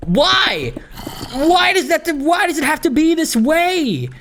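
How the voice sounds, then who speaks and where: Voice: sits high, sing-song, slow, gravelly, noisy background. A person giving rambling, casual gaming commentary, musing and grumbling in an informal way. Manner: informal, musing, grumbling about small gripes. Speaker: gaming video host. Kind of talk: rambling casual Minecraft let's-play commentary.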